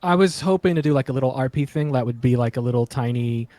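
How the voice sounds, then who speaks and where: A man's voice: medium pitch, fast, dark tone, dry recording. A man bantering casually as a player in a tabletop game.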